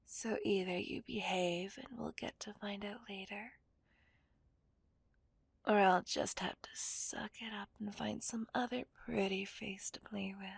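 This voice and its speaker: Woman doing a seductive dominant villain kidnapper, asmr whisper, breathy with some gravelly creak, takes her time. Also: mid-range voice, steady tone, no room sound. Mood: menacing, seductive. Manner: slow, teasing, dominant. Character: seductive, menacing female villain kidnapper, possessive and teasing.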